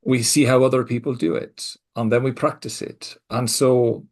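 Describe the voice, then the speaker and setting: A middle-aged man with a mixed Scottish and American accent, co-hosting a casual podcast conversation and speaking reflectively, with halting restarts and soft laughs. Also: medium pitch, fairly even intonation. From Scotland. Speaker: co-host.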